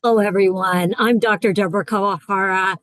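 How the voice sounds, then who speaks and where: A woman psychologist giving a brisk, formal self-introduction on a virtual panel. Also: medium pitch, fairly even intonation, a bit bright, dry recording. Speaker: psychologist, association president.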